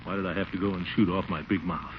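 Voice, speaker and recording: An actor playing a pompous, excitable middle-aged official who blusters and exclaims in 1940s radio comedy style. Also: male voice, low-pitched, fast, close to an everyday voice. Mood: grumbling bluster. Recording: muffled, noisy 1940s radio recording.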